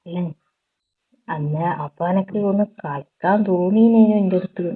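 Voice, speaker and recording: A woman with a northern Kerala accent chatting casually and intimately on a phone call, in a husky voice. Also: fairly low pitch, moderate pace. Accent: Kerala Malayalam, northern. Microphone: telephone call.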